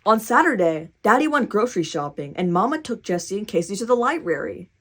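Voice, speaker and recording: A woman reads a picture book aloud for children. Her delivery is very animated and dramatic, with pitch that swings widely and enthusiastic asides to the listener. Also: a little fast. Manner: animated, dramatic, cheering reader. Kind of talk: expressive children's storybook reading with excited asides.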